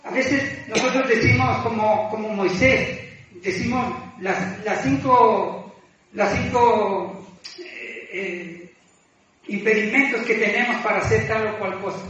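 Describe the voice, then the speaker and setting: High-voiced male preacher in an echoey room. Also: fairly bright tone, slight background noise. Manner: halting, self-deprecating, repeats phrases. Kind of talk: informal sermon, exhorting and confessing. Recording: echoey room, distant mic, muffled, music behind.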